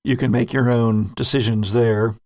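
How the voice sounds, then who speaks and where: A man's voice: medium pitch, steady tone, normal speed, dry recording. A man hosting a podcast, chatting casually and explaining things in a rambling, digressive way. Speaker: podcast host and game master. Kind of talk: casual retrospective chat.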